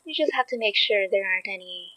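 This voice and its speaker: A woman's voice: medium pitch, lively intonation, quick pace, pushed and bright, no room sound, highs cut off. A woman acting an earnest, careful, slightly anxious researcher in a naturalistic audio drama. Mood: matter-of-fact.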